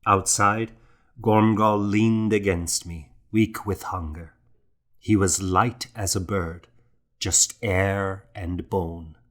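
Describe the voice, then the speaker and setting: A man narrating a historical novel as an audiobook in a measured, deliberate, hushed manner. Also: sits low.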